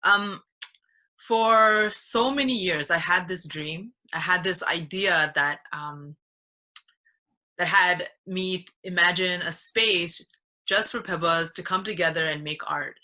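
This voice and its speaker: A woman's voice: fairly low pitch, takes it slowly, pushed and bright. A woman born in Nepal, founder of a community arts program, speaking earnestly and reflectively in an interview over a video call.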